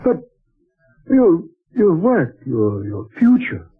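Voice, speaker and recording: An actor playing a startled boss who stammers in dismay, in a 1940s radio drama. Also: male voice, high voice, wildly swooping pitch, slow, breathy edge, full character voice. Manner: stammering, taken aback. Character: flustered male boss, startled employer. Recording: narrow tinny old radio broadcast.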